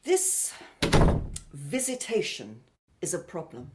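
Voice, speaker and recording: A woman's voice: lowish voice, very animated, takes it slowly, heavy background noise. A woman playing a bitter, scornful young woman in a radio drama, accusing and emphatic in her delivery.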